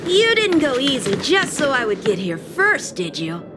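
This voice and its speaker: A woman's voice: high voice, wildly swooping pitch, normal speed. Earnest young swordsman played by a woman, music behind. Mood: suspicious. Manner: earnest, argumentative. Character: earnest, proud, indignant teenage boy swordsman. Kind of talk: video game cutscene acting.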